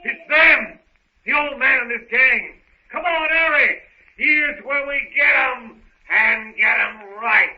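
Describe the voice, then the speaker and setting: A swaggering, slangy Cockney pirate, high in pitch and full of menace and boasting. It is old-time radio villainy with a dull, muffled 1930s sound. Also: male voice, slow pace, exaggerated voice. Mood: excited, urgent. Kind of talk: 1930s radio serial acting.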